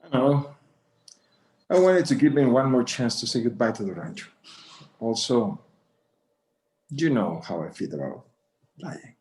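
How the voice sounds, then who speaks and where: Casting: male actor as elderly Mexican-American rancher dad, stubborn yet tender, widely swinging intonation, halting, bits of Spanish, screen drama. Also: medium pitch, lively intonation, a little mellow, lightly acted. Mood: reflective, gentle. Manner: halting, repeating words, dropping in Spanish. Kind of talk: screenplay table read acting.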